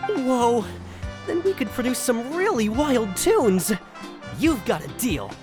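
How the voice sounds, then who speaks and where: An actress playing a casual, excitable female shopkeeper in a video game, with a laid-back drawl. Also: fairly high pitch, slow. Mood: excited. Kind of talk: video game character acting. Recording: music behind the voice.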